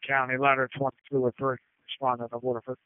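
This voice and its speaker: Very fast-talking man on tinny radio. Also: medium pitch, completely flat delivery, a little hiss or hum. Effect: two-way radio. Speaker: emergency responder calling in by radio. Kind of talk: rapid procedural radio calls. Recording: tinny narrowband radio transmission.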